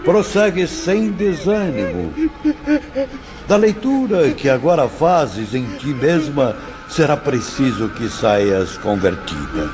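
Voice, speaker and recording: A man's voice: medium pitch, big pitch swings, slow pace. A man playing a solemn spiritual mentor, declaiming to his pupils in a dramatized audiobook. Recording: muffled, music behind.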